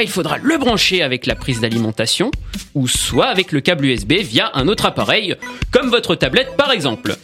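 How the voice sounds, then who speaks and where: Male radio tech columnist, high-pitched and lively, chatty and joking, faint music underneath. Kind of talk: radio tech segment with banter.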